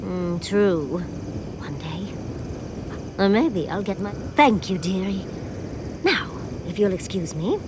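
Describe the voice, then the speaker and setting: An actress playing a headstrong, self-willed and affectionate woman in a fantasy game, with theatrical, expressive delivery. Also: mid-range voice, slow, long pauses, background noise. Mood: playfully defiant. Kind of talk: video game dialogue acting.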